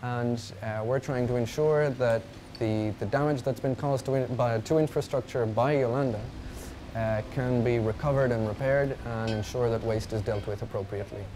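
A man's voice: medium pitch, fairly even intonation, normal speed, mellow, a little hiss or hum. A man in his twenties from France, explaining his waste management work in an interview.

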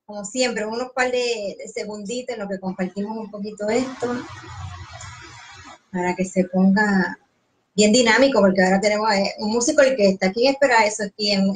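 A woman's voice: medium pitch, rough recording. A woman in her thirties with a Puerto Rican accent hosting a live stream, chatting and greeting viewers in a small room. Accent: Puerto Rican Spanish. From Puerto Rico. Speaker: host. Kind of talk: live stream host chat. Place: small indoor studio room.

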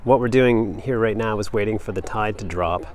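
A man's voice: fairly low pitch, normal speed, a little mellow. A man in his thirties, a sailing vlogger, explaining boat maintenance step by step in a practical, matter-of-fact way. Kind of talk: casual how-to narration. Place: on a sailboat at a marina.

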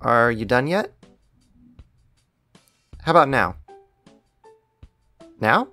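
A woman's voice: extremely low pitch, sing-song, drawn-out words, a bit bright, no room sound, faint music behind, exaggerated voice. A woman playing a clingy, affectionate young boyfriend in an intimate ASMR roleplay, teasing, coaxing and pouty. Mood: pouty, pleading.

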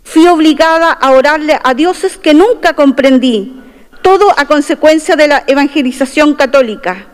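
High-voiced woman in a reverberant chamber. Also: expressive, normal speed. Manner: earnest and proud, stressing key phrases. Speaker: constitutional convention delegate. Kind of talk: formal opening speech, partly read aloud. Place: large wood-panelled assembly chamber. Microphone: desk microphone at a podium. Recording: long reverb of a large chamber.

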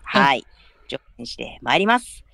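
A low, expressive woman's voice, close and dry. She hosts a live stream in polite, chatty announcements, punctuated by short affirmations. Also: measured pace, vocal fry, no echo. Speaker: streamer hosting an audio drama broadcast.

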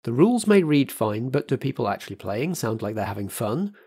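A man hosting as a flamboyant theatrical compere. He reads announcements in a camp, luvvie style. His voice sits at an ordinary middle pitch. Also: sing-song, fairly quick, mellow, breathy edge. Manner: camp, luvvie stage host. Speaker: podcast compere.

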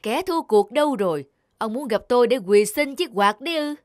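A woman's voice: a little high, animated, normal speed, dry recording, clean studio sound, close to an everyday voice. A woman voicing a proud, hostile rain god father in a cartoon, stern and taunting.

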